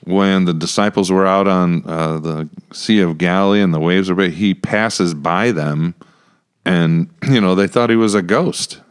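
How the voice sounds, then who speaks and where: A middle-aged American man co-hosting a casual podcast, chatty, halting and repeating words as he goes. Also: sits low, clear, resonant tone. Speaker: podcast co-host, church pastor. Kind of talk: casual Bible discussion podcast. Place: small indoor room with acoustic panels. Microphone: close podcast microphone with headphones.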